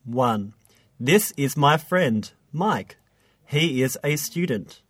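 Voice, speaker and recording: Slow, careful man reading lesson items. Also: medium pitch, animated, no echo. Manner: careful, clearly enunciated. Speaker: English listening test reader. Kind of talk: scripted language-lesson reading, each item repeated.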